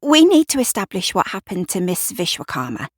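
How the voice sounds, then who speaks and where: A woman acts out a flustered female police sergeant in an audio drama. She is apologetic and halting, tripping over her sentences and starting again. The sound is close and dry. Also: mid-range voice, wildly swooping pitch, husky, dry recording. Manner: halting, stammering, apologising and restarting.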